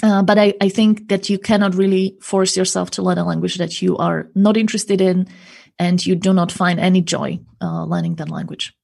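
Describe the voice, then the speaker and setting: A woman's voice: on the low side, steady tone, normal speed, gravelly, a little mellow, breathy edge. A woman working as a language coach and interpreter, answering interview questions in an earnest, explanatory way, often ending points with a tag question.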